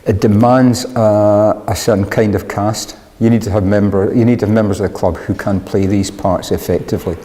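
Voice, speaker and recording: A man's voice: fairly low pitch, steady tone, moderate pace, few pauses, warm tone. A man explaining things in an interview as a member of a drama club. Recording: reverberant hall.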